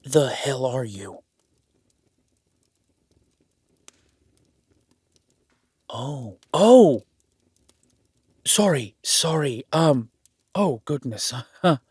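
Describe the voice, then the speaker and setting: A man's voice: medium pitch, expressive, takes it slowly, pauses often, husky, no room sound, studio quality, exaggerated voice. A man playing an awkward, self-deprecating young werewolf duke in a roleplay audio drama, stammering and apologetic, speaking informally to the listener. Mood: startled, flustered.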